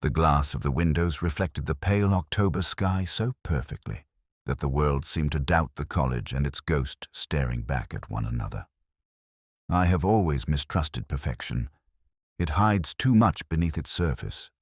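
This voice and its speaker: A man narrating a literary first-person detective drama as a melancholy, world-weary investigator, in a measured, brooding, solemn way. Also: extremely low pitch, expressive, no echo, clean studio sound.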